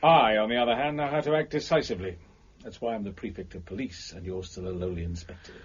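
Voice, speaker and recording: An actor playing an overbearing, contemptuous prefect of police in an audio drama, giving imperious orders in formal language. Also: male voice, on the low side, fast talker, breathy edge, lo-fi sound. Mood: haughty. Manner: imperious, clipped commands, formal diction.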